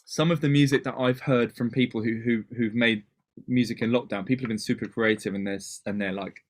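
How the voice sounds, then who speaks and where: A man from Wales in his thirties talks about dance records as an interview guest. He speaks at a middling pitch in fast, rambling bursts and often restarts his sentences. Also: fairly even intonation. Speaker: DJ and producer, guest. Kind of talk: casual interview chat.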